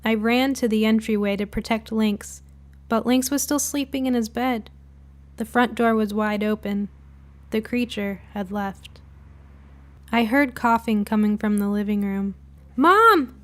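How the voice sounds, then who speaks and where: A woman reading a scripted spooky short story as narrator, in a dramatic, deliberate way. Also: on the high side, steady tone, a little mellow. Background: music bed.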